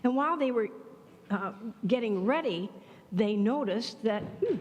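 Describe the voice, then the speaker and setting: A woman telling a folk story at a church service, animated and expressive. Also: on the high side, a little slow. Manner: animated, expressive, acting out voices. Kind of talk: storytelling for all ages. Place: church sanctuary. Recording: long church hall reverb.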